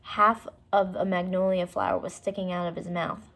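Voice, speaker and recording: A woman's voice: on the low side, flat delivery, moderate pace, a bit bright. A woman storyteller narrating a children's book, with short explanatory asides for young listeners.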